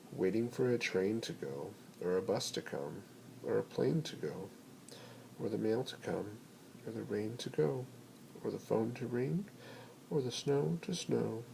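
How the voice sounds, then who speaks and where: Gravelly low male voice, playful storybook read-aloud, noisy home recording. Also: a little mellow. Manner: playful, rhythmic, dramatic pauses and swoops. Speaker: bedtime story reader. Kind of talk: expressive children's picture-book read-aloud.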